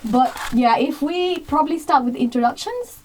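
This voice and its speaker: Woman in her thirties, high animated voice, distant mic, steady background noise. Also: moderate pace. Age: 30s. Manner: lively, laughs loudly, mixes in Nepali words. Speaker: co-host. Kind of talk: casual chat.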